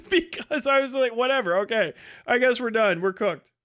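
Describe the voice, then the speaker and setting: A man's voice: extremely high pitch, normal speed, sharp tone. A man giving casual, profane live commentary in an exasperated, scornful manner with heavy swearing. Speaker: livestream host reacting to a debate.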